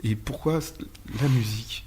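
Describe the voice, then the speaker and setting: A man asks interview questions in an informal style. His voice is husky and sits in a middle range. He gropes toward each question, repeating his phrasing. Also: mid-range voice, unhurried, a little mellow. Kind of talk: casual spontaneous interview questions.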